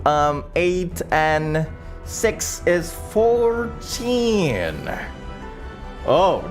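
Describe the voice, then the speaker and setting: A man with a high voice acts as game master on a livestream, at an unhurried pace. He narrates the action animatedly and haltingly, working out dice rolls aloud, with music behind him.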